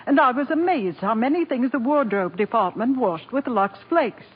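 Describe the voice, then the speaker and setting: A woman's voice: on the high side, lively intonation, normal speed, breathy edge. A woman giving a scripted guest testimonial in a 1940s radio advertisement. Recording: dull, muffled 1940s radio broadcast.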